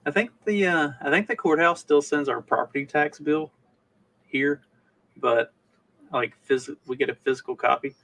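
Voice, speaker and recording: A man's voice: on the high side, normal speed, a bit bright, no room sound. A man from Kentucky co-hosting a podcast, talking in a rambling, colloquial, casual way with some swearing. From Kentucky, United States. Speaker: podcast co-host. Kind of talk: casual chat. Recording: distant from the mic.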